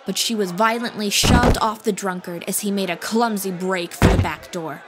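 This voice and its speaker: A woman's voice: mid-range voice, moderate pace, over a noisy crowd, heavy background noise. A woman reading scripted fantasy audiobook narration as the storyteller. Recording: music bed behind voice.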